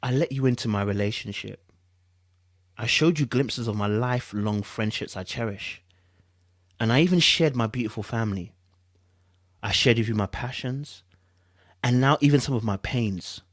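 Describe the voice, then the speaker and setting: A podcast host playing a wounded man in a grave, measured, mock dramatic reading of a breakup statement. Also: mid-range voice, no echo, clean studio sound, voice pushed down, close to an everyday voice. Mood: melodramatic, wounded.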